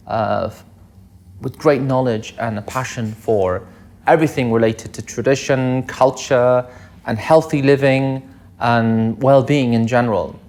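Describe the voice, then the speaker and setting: A man hosting a podcast, asking a guest questions in a polite, soft-spoken way. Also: mid-range voice. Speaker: podcast host. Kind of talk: interview questions.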